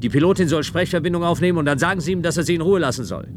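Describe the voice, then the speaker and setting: An actor playing a dutiful, rule-bound official in a science-fiction radio play, speaking formal in an insistent, lecturing way. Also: male voice, very fast, close to an everyday voice. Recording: noisy radio play with engine rumble.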